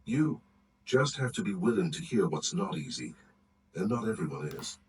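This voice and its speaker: Male narrator, low and measured, set back from the mic. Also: sing-song. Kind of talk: read-aloud narration of aphorisms. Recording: distant mic with faint background noise.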